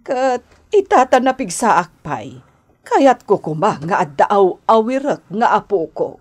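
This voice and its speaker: A woman's voice: mid-range voice, sing-song, unhurried, darkish tone. An actress playing an affectionate, nagging middle-aged mother in a radio drama, with a Filipino accent. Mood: coaxing, plaintive. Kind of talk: Ilocano radio drama acting.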